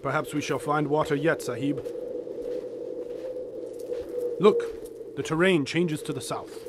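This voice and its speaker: Man acting a devout Afghan warrior, high-pitched over noisy ambience. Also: normal speed, natural voice. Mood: hopeful, calm. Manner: formal, archaic, florid phrasing. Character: devout, fierce Afghan fighter, loyal sidekick to an American adventurer. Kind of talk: audio drama acting.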